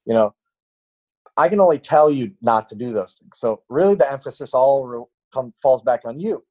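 A man's voice: medium pitch, animated, moderate pace, lo-fi sound. A man in his late twenties, a fitness trainer, chatting casually on a podcast and rambling with many fillers and restarts. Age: late 20s.

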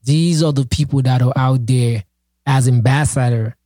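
A man whose native tongue is Haitian Creole chats on a podcast, his voice mellow and relaxed. He talks with earnest passion, stumbling through fillers and restarts. The sound is dry and close. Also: mid-range voice, normal speed, no room sound. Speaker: podcast co-host and project founder. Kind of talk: casual podcast chat.

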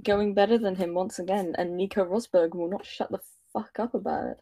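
A woman's voice: mid-range voice, steady tone, fairly quick, creaky, dark tone, no echo. A woman chatting wryly and casually as a podcast co-host, agreeing and swearing lightly. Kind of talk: casual chat.

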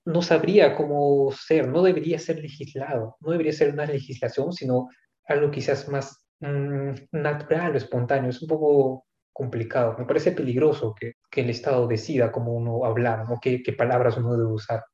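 A man's voice: mid-range voice, fairly even intonation, normal speed, dark tone. A man talking in a casual conversation, thoughtful and hesitant, with many asides and restarts. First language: not English. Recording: echoey room, dull lo-fi sound.

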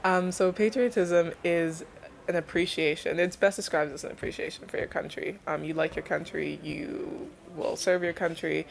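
A woman's voice: fairly low pitch, steady tone, normal speed, creaky, a little hiss or hum. A young woman from Canada, explaining her research in an informal, thoughtful interview style. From Canada, born in Belgium to Nigerian parents. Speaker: interviewed thesis student.

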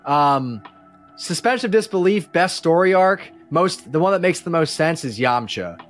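A man's voice: a little high, expressive, normal speed, faint music behind. A man chatting casually and enthusiastically as a fan and podcast guest, in an informal way.

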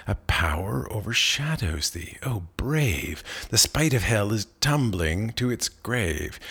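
A man's voice: deep voice, pushed and bright, slightly rough, exaggerated voice. A man voicing an aged, mysterious sage from a narrative poem, exclamatory and urgent in archaic verse. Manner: exclamatory, archaic poetic speech.

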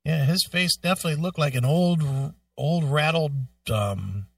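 A man with a high, sharp-edged voice trades opinions as a co-host. He is blunt, sarcastic and freely profane, and the sound is dry and a little off the mic. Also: lively intonation, moderate pace, vocal fry, no echo, distant mic. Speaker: show co-host. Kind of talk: casual opinionated banter.

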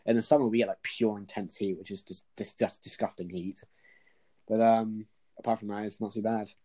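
Young man, mellow, rambling and sweary. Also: lowish voice, flat delivery, fairly quick. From England. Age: young adult. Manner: rambling, sweary, repeats himself. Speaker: interviewer. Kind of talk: casual chat.

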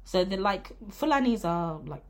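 A British woman guest in a casual podcast chat. She explains at length in a relaxed way, with lots of "like" fillers. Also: mid-range voice, moderate pace. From Britain.

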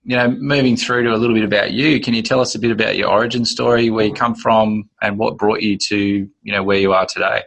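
A man's voice: on the low side, fairly even intonation, quick pace, gravelly, few pauses, a bit bright, low-quality recording. A man hosting a podcast interview, chatty and encouraging toward his guest. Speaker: podcast host. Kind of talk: conversational interview.

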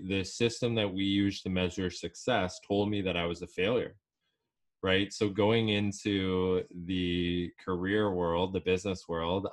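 Deep-voiced man, relaxed. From Canada. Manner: relaxed, rambling, with fillers. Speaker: podcast guest, life coach. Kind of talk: casual interview talk.

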